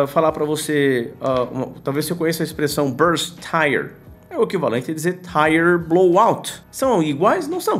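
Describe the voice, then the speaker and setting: A Brazilian man with a Brazilian Portuguese accent teaching English to pilots in a casual, explanatory way. He asks and answers his own questions and uses slang directly. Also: a little high.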